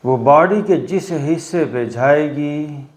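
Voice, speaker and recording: Slow-paced man guiding meditation, echoey room. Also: on the high side. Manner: instructional, with long pauses between short phrases. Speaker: yoga and meditation teacher. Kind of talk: guided meditation. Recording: roomy echo on the voice.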